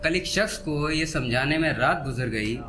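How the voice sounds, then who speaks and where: Man, fairly high voice, poetry recital, deliberate pauses, repeated lines, clear instrumental music behind. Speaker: poet performing on stage. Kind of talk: live Hindi-Urdu poetry recital with spoken asides. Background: soft instrumental background music.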